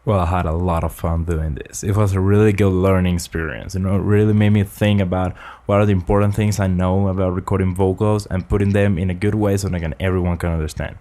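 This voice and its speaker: A young man from Ecuador, earnestly explaining vocal recording tips in slightly halting English, close on a studio condenser mic in a small home studio. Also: deep voice, fairly even intonation, dark tone. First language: Spanish. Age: young adult. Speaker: student making a tutorial. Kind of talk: casual spoken tutorial. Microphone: large-diaphragm condenser with pop filter, close.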